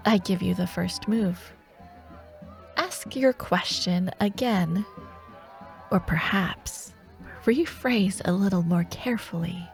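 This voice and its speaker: A woman playing a menacing dark warlord who haunts nightmares, teasing and taunting in a fantasy ASMR audio roleplay. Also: on the low side, creaky, faint music behind. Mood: sly, bargaining. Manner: teasing, taunting, slow and deliberate, slipping toward whispers.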